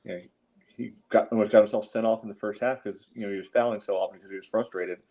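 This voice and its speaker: A man's voice: on the low side, fairly even intonation, quick pace, warm tone. A man giving casual sports analysis as a podcast panelist, explaining things in a chatty, conversational way. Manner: explanatory, peppered with "you know".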